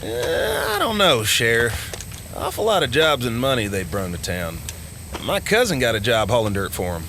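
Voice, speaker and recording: An actor playing a chatty, teasing, excitable small-town American deputy with a folksy, colloquial manner in an audio drama. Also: male voice, mid-range voice, normal speed, a little hiss or hum, exaggerated voice. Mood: curious, uneasy. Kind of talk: full-cast audio drama acting. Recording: music bed under the dialogue.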